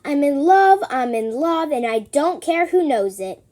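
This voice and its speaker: A woman voicing an earnest, excitable, childlike man raised by elves in a storybook, speaking in heartfelt exclamations. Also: high-pitched, unhurried. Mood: elated.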